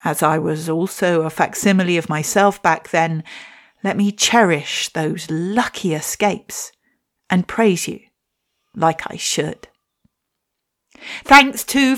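A woman, telling a reflective personal story and reading her poem with wry, self-deprecating humour. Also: medium pitch. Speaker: podcast host and poet.

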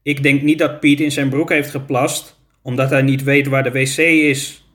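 A man's voice: medium pitch, flat delivery, normal speed, a bit bright, natural voice. A man voicing a sensible, earnest schoolboy in a children's story. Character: sensible, observant schoolboy who explains things earnestly.

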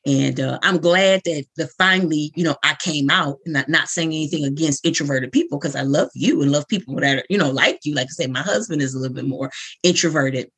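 A woman's voice: low voice, fairly quick, a bit bright, slightly rough. A woman in her late forties from the United States, hosting a live podcast interview, effusive and chatty with frequent laughs. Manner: effusive, laughs often, rambling restarts. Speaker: host.

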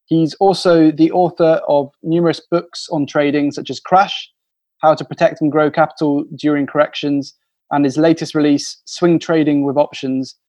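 Slightly high-voiced male podcast host. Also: a little mellow. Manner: polished scripted intro, then halting questions. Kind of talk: interviewing.

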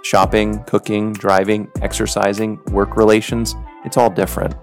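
Low, gravelly man narrating. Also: little pitch movement, faint music behind. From United States. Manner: earnest, reflective, measured delivery. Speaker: podcast host. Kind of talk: scripted storytelling narration.

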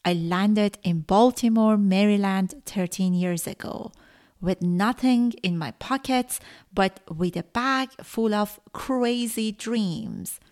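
A middle-aged woman, a native Farsi speaker from Iran, hosting her own podcast and telling her story earnestly with frequent pauses. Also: mid-range voice, a little mellow. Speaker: podcast host. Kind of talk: solo podcast monologue.

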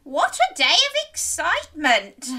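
A woman voicing an excitable, feisty old granny in a children's story, given to loud exclamations. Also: high-pitched, measured pace, pitched above the performer's own voice, heavily acted. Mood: delighted. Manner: exclaiming, yelling.

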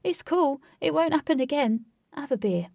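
A woman voicing a laid-back male party organiser who talks loudly and breezily and calls people bro. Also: high voice, animated, moderate pace, vocal fry, no echo, muffled recording, pitched above the performer's own voice. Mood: placating.

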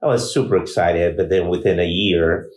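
A man from Peru, an insurance agent, answering interview questions in a measured way with many pauses and fillers. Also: sits low, reverberant.

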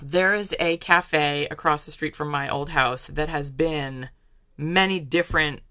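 A woman's voice: deep voice, unhurried, sharp tone, no echo, clean studio sound. A middle-aged woman co-hosting a baking podcast, chatting casually and telling stories, laughing easily. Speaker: podcast co-host. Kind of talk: casual chat about baking.

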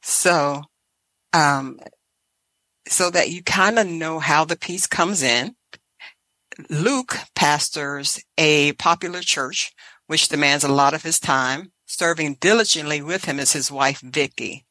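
Bright-voiced woman hosting a podcast. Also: low-pitched, slow pace, no room sound, low-quality recording. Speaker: podcast host.

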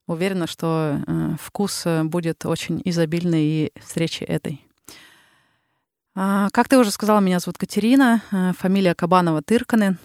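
A woman in her thirties from the Urals with a Ural Russian accent, a psychologist talking slowly and reflectively as a podcast guest, with frequent filler sounds. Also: fairly low pitch, fairly even intonation, a little mellow, no room sound, studio quality. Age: 30s. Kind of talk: casual interview talk.

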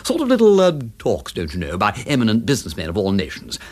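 An actor playing an affable, rambling aristocratic English gentleman detective who feigns silliness, chatty and self-deprecating in a period radio drama. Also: lowish voice, wildly swooping pitch, fast talker. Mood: breezy, rambling. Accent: upper-class English.

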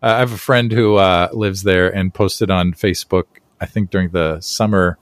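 Guy hosting a podcast, lowish voice, dry close sound, easygoing chatty. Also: fairly even intonation, normal speed, no room sound. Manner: friendly, chatty, frequent fillers and laughs. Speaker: podcast host. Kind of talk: casual interview chat.

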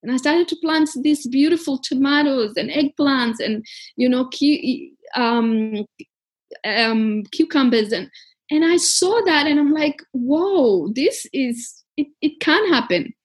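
A woman's voice: sits high, expressive, takes it slowly. A woman from Africa, founder of a community garden, telling her story earnestly in an interview, with many fillers and restarts.

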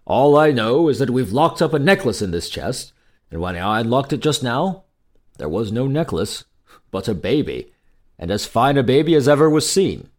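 Man acting an excitable, animated storybook king. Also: mid-range voice, normal speed. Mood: eager bafflement. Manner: exclamatory, emphatic. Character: excitable, hearty storybook king longing for a son.

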